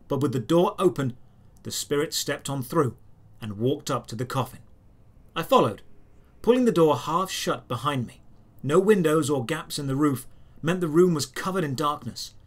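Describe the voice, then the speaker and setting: A man reading dramatic first-person fantasy narration as a storyteller, measured, with deliberate pauses. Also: a little high. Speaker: writer and narrator.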